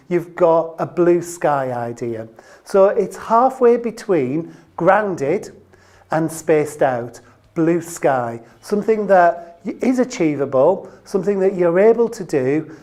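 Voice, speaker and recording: Female trainer for a short business explainer: lowish pitch, very mellow tone, emphatic on key phrases, speaking straight to the viewer. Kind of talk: instructional training video presentation to camera.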